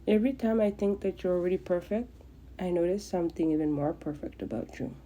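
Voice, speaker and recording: A woman's voice: fairly low pitch, brisk, mellow, a little hiss or hum, close to an everyday voice. A woman voicing an earnest, smitten young man who speaks tenderly in a romantic story. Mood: adoring.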